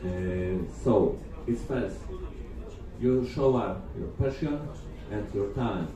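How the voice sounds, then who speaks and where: A man giving a live presentation as a project presenter, speaking halting non-native English with frequent hesitation. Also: on the low side. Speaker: presenter of a comics competition project. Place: large festival hall, talk to an audience. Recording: very echoey hall, far mic, crowd murmur.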